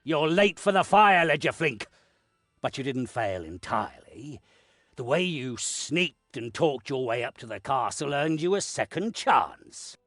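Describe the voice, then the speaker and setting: An actor playing a stern, authoritative lord of a castle in a video game, husky and deliberate. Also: male voice, mid-range voice, very animated, a bit bright, no room sound, studio quality. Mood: stern, commanding. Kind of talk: video game cutscene acting.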